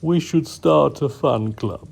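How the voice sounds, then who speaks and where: A man's voice: on the high side, slow, gravelly, a little mellow, pitched above the performer's own voice, full character voice. A man voicing a prim, sleepy lady cat magician in a bedtime storybook tale, long-suffering and wryly scolding.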